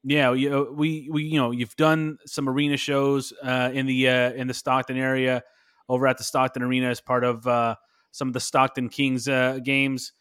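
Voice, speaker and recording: Male podcast host, halting questions, plenty of uhs. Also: mid-range voice, level tone, a bit bright, smooth. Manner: hesitant, lots of "uh" and restarts while asking questions. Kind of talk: interview questions.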